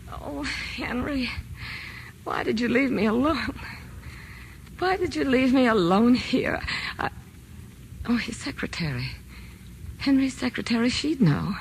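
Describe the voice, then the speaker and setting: A querulous, demanding invalid wife in an old radio thriller. Her voice runs high and swings dramatically with her nerves, over faint vintage broadcast hiss. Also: lively intonation, measured pace, pauses often, fairly bright tone. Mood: distraught, pleading. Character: anxious, imperious, bedridden wealthy wife, querulous and panicky. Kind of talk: 1940s radio drama acting.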